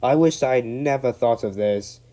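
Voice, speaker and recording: A man voicing a spiteful brake van with a shrill, whining voice. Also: a little slow, mellow, natural voice.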